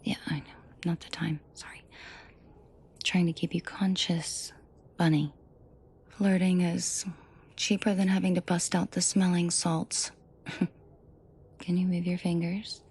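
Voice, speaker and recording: A woman playing a protective mafia boss in an intimate audio drama, soft and breathy with frequent whispering, commanding and dryly teasing. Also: lowish voice, little pitch movement, slow, pauses often, a little hiss or hum. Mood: tender, teasing. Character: protective female mafia boss, menacing to henchmen, tender to victim.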